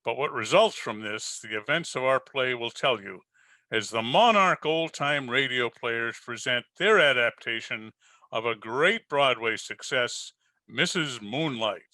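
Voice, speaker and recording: A man's voice: mid-range voice, expressive, a little slow, bright, forceful tone, no room sound. A man delivering scripted narration as an old-time radio host, formal and theatrical as he presents a play.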